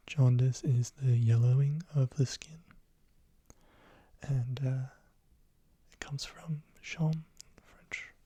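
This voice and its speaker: Slow breathy whispering teacher. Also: medium pitch, dry recording. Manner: soft whisper, slowly repeating each word. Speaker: language teacher. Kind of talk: whispered ASMR lesson.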